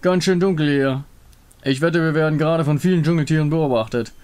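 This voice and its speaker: Man playing a gruff, irritable monster hunter. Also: on the high side, brisk, darkish tone, lightly acted. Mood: wary. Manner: brusque, grumbling. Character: gruff, irritable male monster-hunting warrior. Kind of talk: fan voice-over of a game story.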